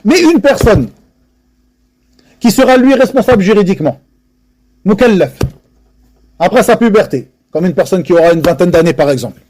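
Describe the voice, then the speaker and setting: High-pitched guy preaching a lesson, earnest, repeats himself for emphasis, drops arabic phrases in. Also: expressive, normal speed, long pauses, a little mellow, slight background noise. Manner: mixes Arabic religious phrases into French. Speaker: religious teacher. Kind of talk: religious lesson to a live audience.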